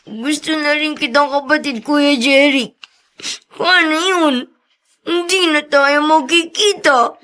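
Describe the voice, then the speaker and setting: A woman's voice: high-pitched, steady tone, takes it slowly, bright, forceful tone, full character voice. A woman voicing a sad, plaintive young runaway boy in a drama, with a Filipino accent. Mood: wistful. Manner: plaintive, childlike. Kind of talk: Filipino radio-style drama acting.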